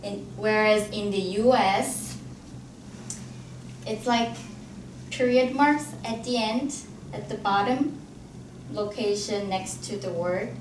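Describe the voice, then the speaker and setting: A young woman from South Korea, a native Korean speaker with a Korean accent, giving a careful, halting academic presentation as a graduate student. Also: medium pitch. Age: young adult. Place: indoor room at an academic forum. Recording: echoey, noisy room, distant mic.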